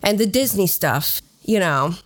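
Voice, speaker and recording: A woman's voice: medium pitch, expressive, measured pace. A woman hosting a podcast, telling stories in a casual, conversational way with a sardonic edge and some swearing. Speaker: podcast host.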